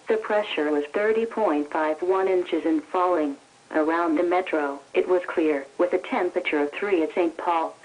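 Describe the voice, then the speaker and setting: A woman's voice: lowish voice, darkish tone, rough recording. A synthetic American female voice reading scripted weather conditions formally and impersonally on weather radio. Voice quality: synthetic text-to-speech voice. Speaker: weather observations announcer. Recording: weather radio broadcast reception.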